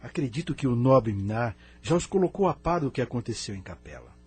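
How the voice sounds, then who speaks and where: Husky, solemn venerable elder spirit, acted by a man, Brazilian. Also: mid-range voice, expressive, a little mellow. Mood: grave, concerned. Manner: solemn, preaching, measured. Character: venerable male spirit elder from another planet, grave and wise. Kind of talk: Brazilian spiritist audio drama acting. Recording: music bed behind the voice.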